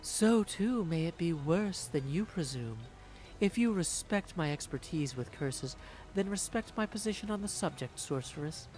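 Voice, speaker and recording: Woman playing video game witch, imperious, secretive, formal measured delivery, faint music behind. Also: fairly low pitch, expressive, darkish tone, close to an everyday voice, low-quality recording. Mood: haughty, guarded. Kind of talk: scripted video game voice acting.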